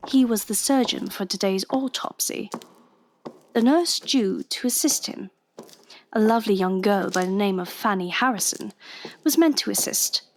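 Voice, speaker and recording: A woman's voice: medium pitch, moderate pace, slight background noise, close to an everyday voice. An actress playing a wry young undercover policewoman, confiding in the listener in an audio drama. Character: young woman undercover police agent, wry, posing as nurse. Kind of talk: first-person audio drama narration and dialogue.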